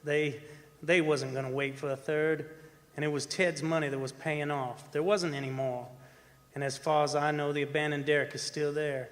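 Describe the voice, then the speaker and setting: An actor playing a haunted, defensive oil rig worker in a live radio drama, telling his eerie tale in a folksy, halting way. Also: on the high side, level tone, a bit bright, natural voice. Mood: hushed, eerie. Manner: folksy, halting, repeating words for suspense. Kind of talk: live radio drama acting, first-person horror narration. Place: performing arts center stage. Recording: stage performance in reverberant hall, music behind.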